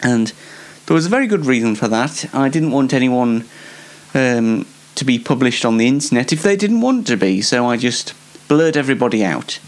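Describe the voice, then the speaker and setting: A man's voice: mid-range voice, expressive, normal speed. A young British man hosting a show on his own, giving a casual, rambling comedic monologue in a self-deprecating way. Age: young adult. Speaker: solo host of an online talk show.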